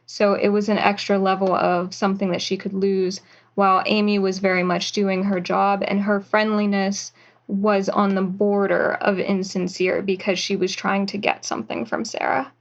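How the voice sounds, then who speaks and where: A woman, a writer and actor, answering interview questions about her work in a slow, thoughtful way with fillers. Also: medium pitch, flat delivery, lo-fi sound.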